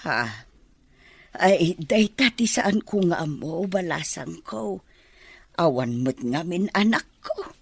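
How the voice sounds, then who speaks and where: A woman's voice: lowish voice, animated, takes it slowly, creaky, no echo, heavily acted. A woman acting a deferential, earnest older woman who confides in her boss, in an Ilocano radio drama. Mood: surprised, hesitant. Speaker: voice actor in an audio drama.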